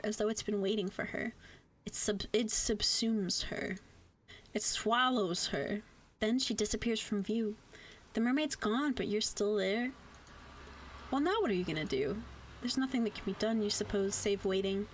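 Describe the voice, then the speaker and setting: A young woman reading visual novel narration aloud in a literary, measured way. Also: medium pitch. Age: young adult. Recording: music playing behind the voice. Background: music.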